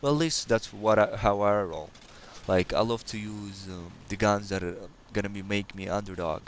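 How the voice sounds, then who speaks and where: Low-voiced man with a slight Russian accent. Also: moderate pace, slight background noise. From Kazakhstan. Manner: rambling and hesitant, many fillers. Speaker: gaming channel host. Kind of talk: casual gameplay commentary.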